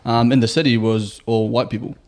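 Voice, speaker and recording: A man's voice: medium pitch, little pitch movement, fairly quick. A young man with a New Zealand accent co-hosting a podcast, agreeable and chatty, often saying "yeah, yeah". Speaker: podcast co-host. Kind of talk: casual chat.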